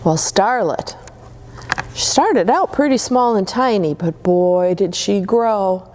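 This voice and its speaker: A woman reading Christmas stories aloud to children in a gentle, soothing way. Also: mid-range voice, lively intonation, slow pace, slight background noise. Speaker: storyteller.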